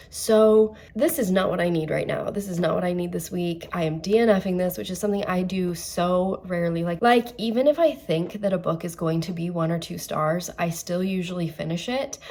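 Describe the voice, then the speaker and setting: A woman's voice: fairly low pitch, a little mellow. Congested young woman in a chatty vlog. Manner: chatty, exasperated, emphatic. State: sick with a cold, congested and coughing. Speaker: book vlogger. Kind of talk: casual reading vlog chat.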